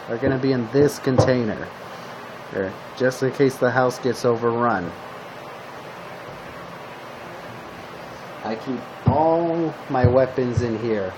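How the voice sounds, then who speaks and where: A man plays a male zombie apocalypse survivor passing on tips in an improvised roleplay. His voice sits at an ordinary pitch and he talks in a conversational, matter-of-fact way, often halting and repeating himself. A clear steady noise runs behind the homemade recording. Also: lightly acted. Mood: casual, caring. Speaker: roleplay video host. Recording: steady background hum, noisy home recording.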